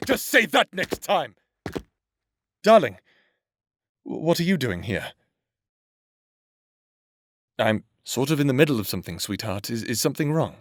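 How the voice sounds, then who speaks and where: Guy doing a cold necromancer villain, calm and threatening, audio drama. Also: mid-range voice, no room sound, clean studio sound, natural voice. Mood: flustered. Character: menacing male necromancer, scheming and cold.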